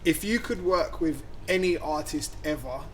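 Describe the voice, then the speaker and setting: A male interview host asking short, relaxed questions and nudging the guest along. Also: a little high, moderate pace, slight background noise. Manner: brief questions and encouraging backchannels.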